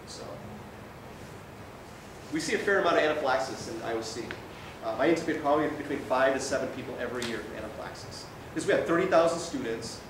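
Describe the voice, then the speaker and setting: A male doctor giving an informal medical lecture in a conversational way, often checking in with his listeners. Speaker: emergency physician lecturing. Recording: very echoey room, distant mic, noisy rumble.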